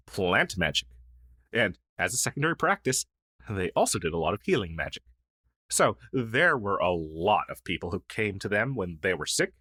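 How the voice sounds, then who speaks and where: A man's voice: lowish voice, bright, forceful tone, breathy edge, no echo, studio quality, heavily acted. A man playing a friendly, down-on-his-luck crow demon who was once a witch's familiar, in a fantasy audio roleplay, speaking earnestly with hesitant stammers.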